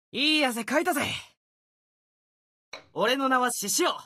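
A man voicing a brash, boastful young sword warrior for an anime-style game, youthful and husky-edged, with cocky, casual boyish speech. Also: sits high, dry recording, clean studio sound, full character voice. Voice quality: youthful, bright, husky edge.

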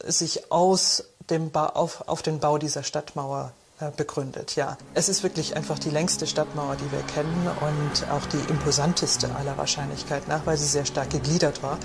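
A woman's voice: low-pitched, steady tone, moderate pace, gravelly, hardly any pauses, noisy background. A woman explaining as an interviewed expert, unscripted and searching for her words.

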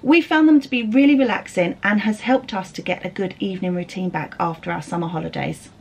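A woman's voice: mid-range voice, a little fast, gravelly, a little mellow, husky. An English woman in her thirties talking casually as a mother on a family vlog. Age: 30s.

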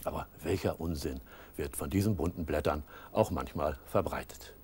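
A man's voice: very deep voice, moderate pace, heavy background noise. A man telling a wry, ironic remark in an interview.

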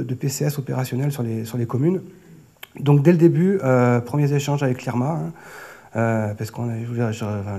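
A man in his thirties with a French accent from France gives a conference talk at a lectern microphone. His voice sits at a medium pitch with a warm, rounded tone. He presents semi-improvised, hesitating and often restarting or rewording phrases. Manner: hesitant, often repeating and rephrasing words. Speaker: flood prevention project manager, conference speaker. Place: auditorium stage at a lectern. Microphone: lectern gooseneck microphone.

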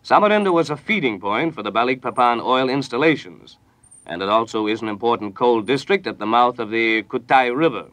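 An American man delivers news in 1940s radio style. His reading is formal and measured, at a medium pitch. The studio sound is dry, with no room around the voice. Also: animated, fairly bright tone, no echo.

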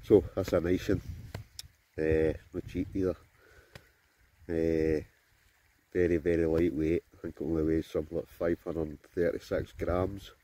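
Scottish man, 40s, very deep voice, mellow, rambling casual vlog, outdoors, phone at arm's length. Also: flat delivery, measured pace, pauses often. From Scotland. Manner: chatty and rambling, many fillers. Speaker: vlogger. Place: outdoors by a river, then inside a tent. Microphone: phone camera at arm's length.

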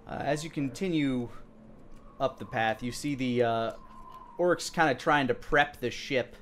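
A man runs a tabletop game as dungeon master. His mid-range voice describes scenes in an improvised, conversational way, often pausing as he goes. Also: mid-range voice, a bit bright, a little hiss or hum. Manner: halting, conversational delivery.

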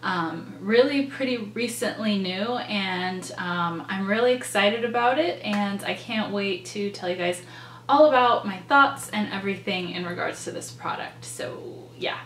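A woman's voice: mid-range voice, measured pace, a bit bright. A young woman in her twenties chats eagerly to camera about products, her voice a little nasal and stuffed up from a cold, with plenty of ums and likes. She sits in her bedroom and the room gives her voice a noticeable echo, with a faint hum running underneath. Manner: chatty and enthusiastic, many ums and likes. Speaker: beauty vlogger. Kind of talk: casual product review to camera. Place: bedroom, indoors. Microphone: camera in front, seated.

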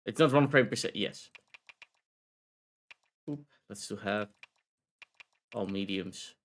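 A man's voice: on the low side, takes it slowly. A man from the Netherlands with a Dutch accent, chatting casually and rambling while streaming a video game, laughing often.

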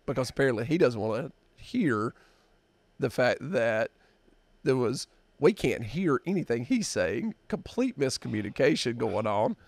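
A man in his fifties hosting a podcast, telling a story in a halting, drawn-out way with many fillers, at a desk mic in a dark studio. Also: mid-range voice, creaky, dry recording. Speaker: podcast host. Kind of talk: casual storytelling chat.

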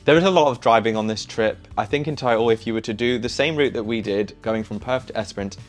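A man's voice: fairly low pitch, fast talker, soft background music. A young man giving enthusiastic, chatty travel tips to camera while seated in a small living room. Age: young adult. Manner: easygoing, enthusiastic, chatty with fillers. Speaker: travel vlogger. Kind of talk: casual travel recommendations to camera.

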